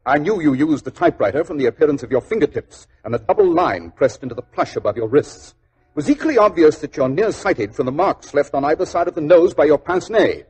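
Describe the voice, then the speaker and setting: A shrewd detective in vintage 1940s radio style. His voice is husky and a little high for a man, with animated intonation. He sounds precise, assured and slightly condescending. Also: fast talker. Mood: assured, explanatory. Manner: precise, formal, gently condescending. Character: shrewd, self-assured male detective, coolly observant. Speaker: actor in a radio detective drama.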